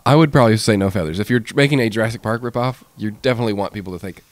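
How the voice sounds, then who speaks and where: A man's voice: on the low side, rapid-fire, no room sound. A man co-hosting a podcast in loose, casual conversation. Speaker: podcast co-host. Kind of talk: casual chat.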